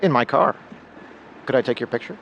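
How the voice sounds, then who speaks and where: An actor playing a smooth-talking, flirtatious predator who poses as a photographer in a true crime radio drama. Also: male voice, medium pitch, fairly quick, muffled recording, a little hiss or hum, close to an everyday voice. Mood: coaxing, flirtatious. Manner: flirtatious, coaxing, self-assured.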